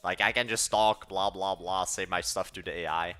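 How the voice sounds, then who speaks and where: A man's voice: low-pitched, steady tone, normal speed, bright, forceful tone, smooth, soft background music. An eighteen-year-old man chatting casually on a live stream, rambling and trailing off mid-thought. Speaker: livestreaming coder.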